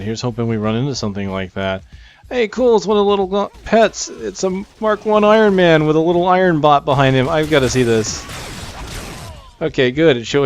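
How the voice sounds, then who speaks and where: A man giving casual gameplay commentary as a video host, informal and thinking aloud. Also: mid-range voice. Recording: faint game music behind. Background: game music.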